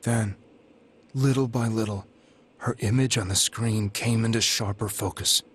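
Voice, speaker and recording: A low-voiced, somewhat rough American middle-aged man in a video game drama. He is troubled and grieving, and he tells his story haltingly, with guilt and anguish under every line. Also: fairly even intonation. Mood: anguished, earnest.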